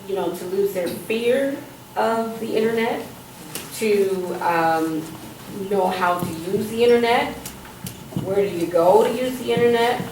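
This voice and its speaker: A woman in the audience standing to ask a question, with a loud teacher's voice. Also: medium pitch. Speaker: audience member. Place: public event hall. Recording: distant voice in echoey room, clear noise.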